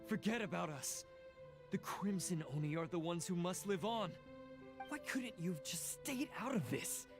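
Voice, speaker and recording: An actor playing a grim, bitter oni bandit in a game, speaking sternly and with resignation, over game music. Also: male voice, high-pitched, expressive, moderate pace. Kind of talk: studio game voice acting. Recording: game audio with clear background music.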